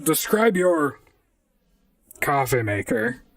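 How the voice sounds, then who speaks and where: A man playing a wry, deadpan robot private detective in a noir audio drama, both narrating and talking to others. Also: on the high side, slow pace, gravelly, dry recording, distant mic, full character voice. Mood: businesslike. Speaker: narrator and lead character.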